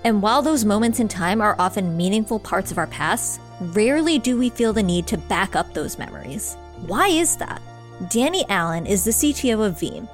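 A woman reading a scripted podcast intro in a polished, even way. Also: mid-range voice. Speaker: podcast announcer. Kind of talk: scripted intro and sponsor read.

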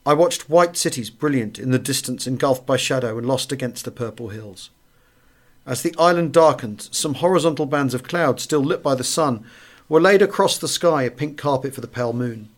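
A man's voice: mid-range voice, normal speed. A man narrating a wartime memoir as a scripted audiobook reading.

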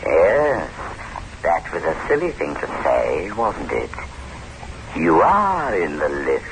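Deep-voiced, swooping murderous schemer. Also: male voice, big pitch swings, slow, heavy background noise, exaggerated voice. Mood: mocking. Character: scheming lover coolly plotting murder, as hallucinated. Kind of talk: 1960s radio horror drama acting. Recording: old radio recording with loud music.

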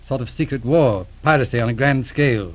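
An actor playing a steady, practical mounted police inspector, speaking in clipped, matter-of-fact sentences in an old-time radio drama. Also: male voice, mid-range voice, fairly quick, close to an everyday voice. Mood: firm, explaining.

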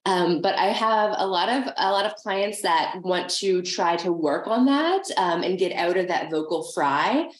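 A woman speech therapist giving an interview, explaining things with enthusiasm, with vocal fry at the ends of her phrases. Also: on the low side, expressive, a little slow, hardly any pauses, reverberant.

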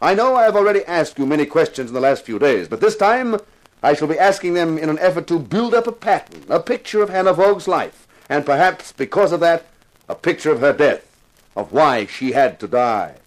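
Man acting intense, theatrical TV detective, lively pitch. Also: lightly acted, poor audio. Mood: stern, pressing. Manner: theatrical, deliberate, insistent. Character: intense television-detective actor, grave narrator turned relentless questioner. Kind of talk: 1950s radio drama acting, first-person crime narration.

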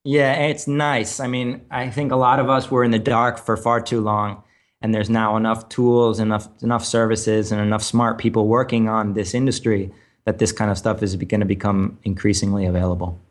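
Man with a lowish voice explaining. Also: flat delivery, normal speed. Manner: explanatory, analytical, many ums and restarts. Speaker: podcast co-host. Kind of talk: casual chat.

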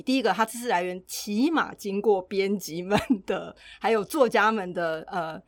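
A woman's voice: mid-range voice, no room sound, studio quality. A woman with a Taiwanese Mandarin accent, chatting casually as a podcast co-host. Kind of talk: casual chat.

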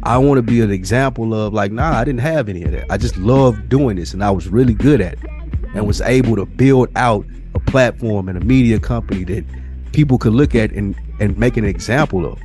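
A man's voice: lowish voice, fairly quick, darkish tone, faint music behind. An American man co-hosting a podcast, talking in an earnest, motivational way with casual, slangy phrasing. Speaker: podcast co-host.

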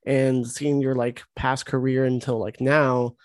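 A man's voice: medium pitch, flat delivery, measured pace, no room sound, studio quality. A man hosting an interview, gushing about a film in rambling, casual speech full of "like". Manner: gushing, rambling, heavy use of "like". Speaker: interview host.

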